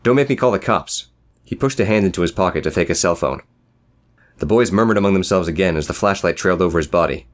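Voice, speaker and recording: A man's voice: low-pitched, quick pace. A man narrating a scripted, dramatic story as an audiobook reader.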